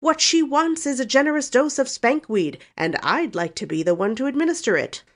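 A woman voicing a blustering, scornful older uncle in a storybook, with a gruff, snorting, emphatic delivery. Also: sits high, expressive, moderate pace, pitched above the performer's own voice. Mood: angry, blustering. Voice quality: gruff, growling.

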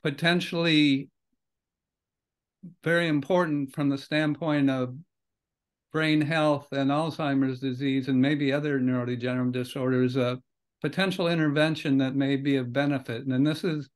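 A man's voice: medium pitch, flat delivery, moderate pace. Older male host, casual interviewer on a video call, easygoing and hedging, mid-range voice. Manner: conversational, loosely phrased with hedges. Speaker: podcast host. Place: home study with bookshelves. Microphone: video call webcam.

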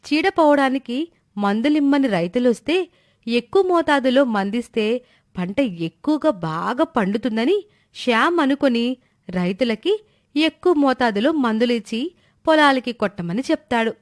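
A woman's voice: on the high side, lively intonation, moderate pace, no echo, studio quality. A woman narrator reading a scripted moral story in a storytelling style.

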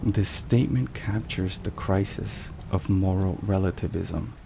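A man giving a scripted, solemn ASMR devotional narration in a soft, measured and deliberate way. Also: low voice, vocal fry. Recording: steady rain and thunderstorm noise. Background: rain and thunder.

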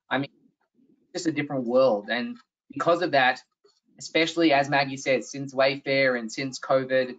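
A man's voice: medium pitch, fairly bright tone, far from the mic. A man with an Australian accent presenting a tax webinar over a video call, earnest and explanatory, with frequent "uh"s and restarts. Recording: slightly dull video-call audio.

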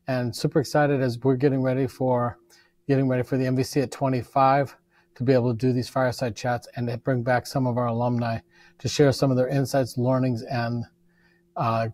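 A man's voice: mid-range voice, darkish tone. A middle-aged man hosting a fireside chat from a lecture hall, speaking into a lapel mic, making welcoming remarks with a few restarts. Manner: friendly opening remarks with some restarts. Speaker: host, professor. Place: large empty lecture hall.

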